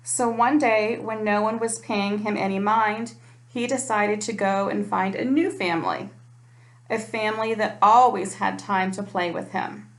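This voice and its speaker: A woman's voice: medium pitch, steady tone, unhurried. A woman reading a children's picture book aloud in a storytime style. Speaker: storytime reader, parent. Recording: echoey room.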